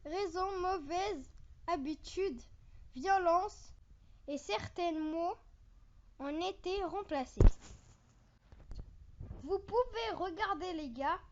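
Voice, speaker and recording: Childlike video host, very high-pitched, informal countdown read in halting phrases with chummy asides to viewers. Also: highs cut off, slight background noise.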